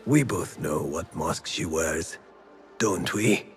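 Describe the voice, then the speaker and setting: Scornful, low-voiced accuser. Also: male voice, slow pace, creaky, slight background noise, heavily acted. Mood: scornful, accusing. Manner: accusing, contemptuous. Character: suspicious, scornful, protective man of means. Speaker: game character. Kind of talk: dramatic video game acting.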